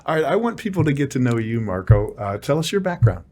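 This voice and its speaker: A man's voice: mid-range voice, big pitch swings, a little mellow. Upbeat middle-aged male talk show host. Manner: upbeat, quick questions with frequent fillers. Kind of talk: broadcast interview.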